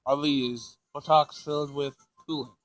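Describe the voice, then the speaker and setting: A man voicing a formal, literal-minded android in a teenage girl's body, stiff and halting, for a visual novel read-aloud. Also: on the high side, fairly even intonation, close to an everyday voice. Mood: probing. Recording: music behind the voice.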